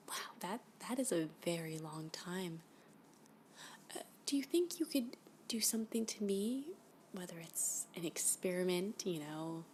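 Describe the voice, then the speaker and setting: A woman playing a flirty, clingy alien abductee in an ASMR roleplay, gushing and halting, often dropping to a whisper. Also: fairly low pitch, a little mellow. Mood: adoring, wistful. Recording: background noise.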